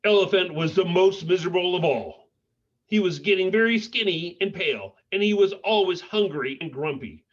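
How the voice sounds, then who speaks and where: A man reading a picture book aloud to children in an expressive way, pausing to put questions to his listeners. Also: high-pitched, dull audio. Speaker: school principal reading to children.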